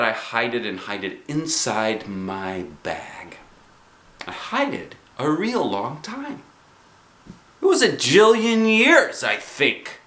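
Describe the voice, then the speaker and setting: A man reading a children's book aloud as an animated storyteller, with expressive, dramatic phrasing. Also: fairly low pitch, echoey room.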